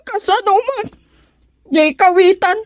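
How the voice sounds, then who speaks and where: A woman's voice: extremely high pitch, lively intonation, slow, no echo, studio quality, heavily acted. A woman acting in a radio comedy as a timid, whiny young niece who speaks to her elders. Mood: fearful.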